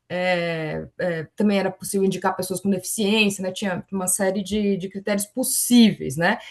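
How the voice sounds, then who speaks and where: A Brazilian woman speaking as a forum panelist in an online academic presentation, explaining things and often adding small tags that seek agreement. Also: medium pitch.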